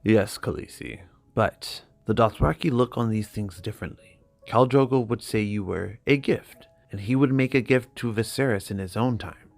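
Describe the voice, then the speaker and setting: A man performs a loyal, seasoned knight in exile from a fantasy saga. He sounds sober and measured, a touch low, with music behind. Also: gravelly. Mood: cautioning. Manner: grave, measured.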